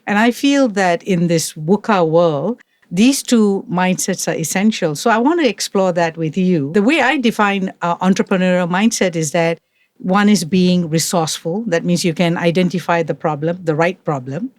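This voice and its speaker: A man hosting a podcast interview, asking questions in a measured, deliberate way. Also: high voice, no room sound. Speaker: podcast host.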